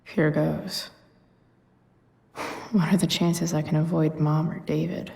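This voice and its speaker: A woman's voice: sits low, little pitch movement, slow, vocal fry, dark tone, recorded from a distance, exaggerated voice. A young woman acting a sardonic, moody teenage girl, muttering inner thoughts dryly in a video game. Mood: muttering, resolved. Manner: muttering inner monologue, dry.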